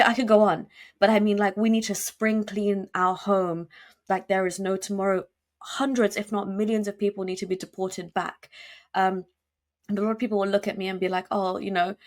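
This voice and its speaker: Emphatic woman, interview guest. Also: medium pitch. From United Kingdom. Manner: emphatic, rapid, many fillers and restarts. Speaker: podcast guest. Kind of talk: conversational interview.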